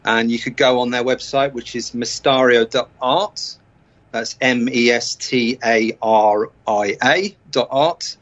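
A man being interviewed as an artist, chatting casually with a halting delivery. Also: medium pitch, steady tone, a bit bright. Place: remote call from the UK. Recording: dull, muffled remote call.

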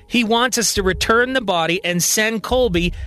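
A man's voice: high-pitched, moderate pace, sharp tone, pitched above the performer's own voice. A man voicing a firm, easily exasperated woman on a covert team in an audio story. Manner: exasperated, insistent.

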